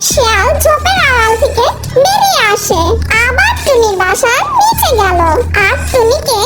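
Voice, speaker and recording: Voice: very high voice, very animated, normal speed. A narrator reading a scripted children's fairy tale for a cartoon. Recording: loud children's music behind the voice.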